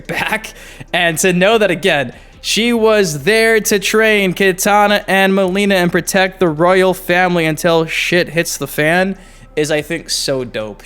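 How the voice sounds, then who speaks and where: Higher-voiced man doing casual game commentary. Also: a bit bright, smooth. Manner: informal, slangy, enthusiastic fan talk. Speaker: gaming video host.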